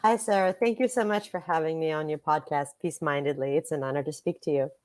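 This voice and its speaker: A woman from Russia speaking as an author and podcast guest, reflective and thoughtful, with measured, sometimes hesitant phrasing. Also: low voice, fairly even intonation, darkish tone, no room sound. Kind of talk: reflective interview conversation.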